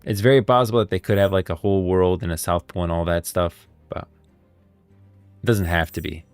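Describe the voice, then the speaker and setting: A man with a deep voice talks casually and emphatically, like a streamer sharing strong opinions on game lore. The sound is dry and close to the microphone, with faint music underneath. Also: steady tone, a little fast, clean tone, no room sound. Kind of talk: casual livestream commentary. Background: background music.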